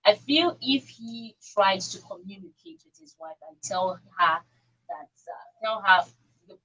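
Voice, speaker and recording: A woman with a Nigerian accent talks on a radio panel in a conversational, reflective way. Her mid-pitched voice is a little husky, and she acts out quoted dialogue to explain what she means. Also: expressive, a little slow, a bit bright, dull audio. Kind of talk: conversational discussion on a radio talk show.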